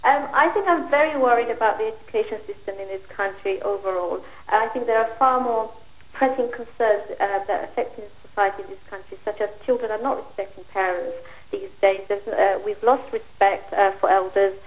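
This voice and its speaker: A woman calling a phone-in to give her opinion in an earnest, deliberate and rather formal way. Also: medium pitch, low-quality recording. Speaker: phone-in caller. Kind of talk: opinion on a live call.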